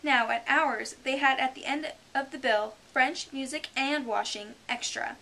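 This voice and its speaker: A woman's voice: high-pitched, sharp tone, pitched above the performer's own voice, heavily acted, low-quality recording. A woman voicing a mournful, touchy old male turtle creature, telling his story plaintively. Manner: plaintive, easily offended, slow storytelling. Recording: hissy home recording.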